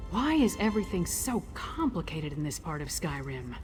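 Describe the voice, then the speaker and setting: An actress playing a blunt, wry warrior companion in a video game, sounding exasperated. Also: female voice, low voice, big pitch swings, normal speed, a little mellow. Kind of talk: video game character dialogue. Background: music.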